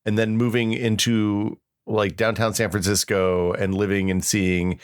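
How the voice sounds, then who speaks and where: Low-voiced middle-aged American man chatting. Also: flat delivery, unhurried, smooth. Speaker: podcast co-host. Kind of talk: casual chat, reminiscing.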